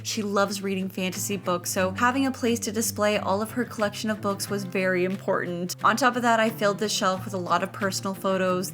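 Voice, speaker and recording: A woman with a playful, chatty manner, narrating a home makeover video in an upbeat scripted style with casual asides. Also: medium pitch. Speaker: host of a home makeover vlog.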